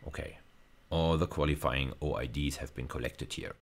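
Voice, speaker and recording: A man with an extremely low-pitched voice lectures on technical material. He explains step by step, pausing and restating phrases as he goes. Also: steady tone, creaky. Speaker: university lecturer.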